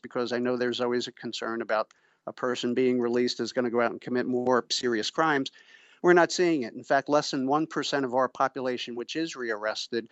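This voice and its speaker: A middle-aged man giving measured, formal and emphatic explanations as an expert guest in an interview. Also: medium pitch, no echo, lo-fi sound. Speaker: interviewee, pretrial services official and former prosecutor. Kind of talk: explanatory radio interview answers.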